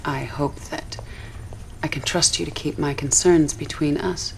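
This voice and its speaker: A very low-pitched, intense woman commander from a war game cutscene. She is guarded and ambitious, speaking with controlled urgency over faint background noise. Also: steady tone, measured pace, gravelly, low-quality recording. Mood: guarded, suspicious. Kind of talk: dramatic video game cutscene acting.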